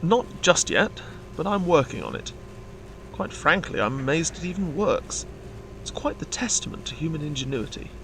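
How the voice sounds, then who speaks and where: A man's voice: on the high side, sing-song, moderate pace, a bit bright, slight background noise, rough recording. A man playing a polite, bookish nonhuman crewmate in a science-fiction audio drama, speaking formally and precisely. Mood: keen, precise.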